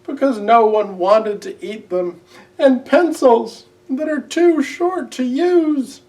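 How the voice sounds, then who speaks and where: A man voicing a sorrowful, gentle, simple-hearted owl from a children's storybook, with earnest, drawn-out emotive delivery. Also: extremely high pitch, recorded from a distance, pitched above the performer's own voice, exaggerated voice. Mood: tearful, mournful.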